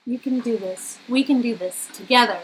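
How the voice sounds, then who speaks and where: A woman's voice: on the high side, moderate pace, lightly acted, low-quality recording. A woman acting out a spirited, protective young female captive in a casual, emotive way, with noise behind her. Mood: caring.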